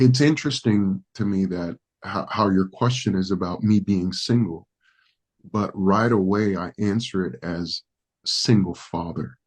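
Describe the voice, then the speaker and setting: A man's voice: sits low, darkish tone, husky. A man from the Dominican Republic whose first language is Spanish, a pastor speaking as a guest in a podcast interview, earnest and reflective with some hesitations.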